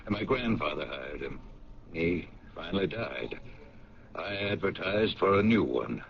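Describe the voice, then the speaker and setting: An actor playing a skeptical, old-fashioned company owner with an American accent in a radio drama, dry and conversational. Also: male voice, low-pitched, expressive, a little slow, creaky, exaggerated voice. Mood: musing, reflective. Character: plain-spoken, skeptical old-fashioned paint company owner, middle-aged. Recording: muffled, noisy vintage radio broadcast.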